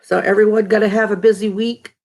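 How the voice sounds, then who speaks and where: A woman's voice: medium pitch, level tone, fairly quick, darkish tone. A middle-aged woman with a stuffed-up, nasal voice, chatting casually with viewers and greeting them by name on a live stream from home. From Texas. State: stuffy, congested nose. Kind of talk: casual live chat reading viewer comments.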